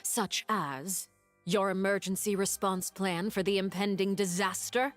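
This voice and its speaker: An actress playing a poised young woman diplomat in a video game, deliberate and mock-courteous, asking pointed questions with polite menace. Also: mid-range voice, lightly acted. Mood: sly, probing. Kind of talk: dramatic video game voice acting. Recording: faint background music.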